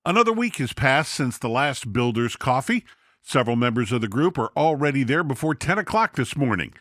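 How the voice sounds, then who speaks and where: A man narrating a scripted story for a podcast in a steady, measured way. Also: mid-range voice, no room sound, clean studio sound.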